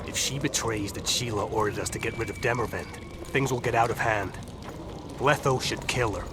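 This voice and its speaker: A man's voice: fairly low pitch, normal speed, noisy background, close to an everyday voice. A man acting a bold, impatient assassin in a video game, talking in a blunt, sardonic way. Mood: defiant. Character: gruff male assassin, bold, impatient for action. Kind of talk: dramatic video game dialogue.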